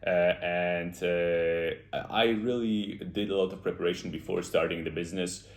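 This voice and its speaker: A man's voice: low-pitched, fairly even intonation, normal speed. A man in his twenties with a Polish accent, talking earnestly and off the cuff about lessons and goals, with many "uh" fillers. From Poland. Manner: earnest, reflective, with frequent "uh" fillers. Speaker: self-improvement YouTuber. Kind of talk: unscripted motivational monologue. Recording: echoey room.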